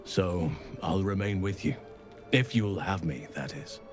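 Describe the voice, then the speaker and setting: An actor playing a solemn, gentle middle-aged elven archdruid in a fantasy game, speaking in a measured, earnest, formal way. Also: male voice, low-pitched, expressive, music in the background. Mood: solemn, regretful. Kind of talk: scripted fantasy game dialogue.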